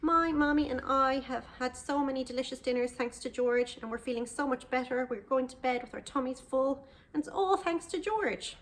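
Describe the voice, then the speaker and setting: A woman voicing a kind, earnest little boy who speaks high and eagerly. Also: normal speed, few pauses, lightly acted. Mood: pleading.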